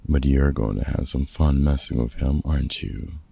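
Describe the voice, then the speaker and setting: A man playing a gruff, wry older vampire hunter in an ASMR roleplay, a fatherly mentor with a very gravelly, husky voice and dry, teasing delivery. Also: a bass voice, normal speed, dark tone, exaggerated voice. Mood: fond, encouraging. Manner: dry, teasing, hesitant with unfamiliar slang. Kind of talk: ASMR roleplay audio drama, one-sided conversation.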